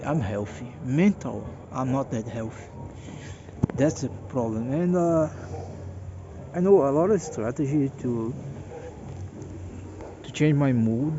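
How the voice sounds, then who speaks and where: A Brazilian man in his late thirties speaks English with a Portuguese accent, tired and hesitant, often repeating himself as he searches for words. His delivery is very slow. The recording is dull and lo-fi, with clear background noise. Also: mid-range voice. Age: 30s. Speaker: vlogger. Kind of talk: personal monologue.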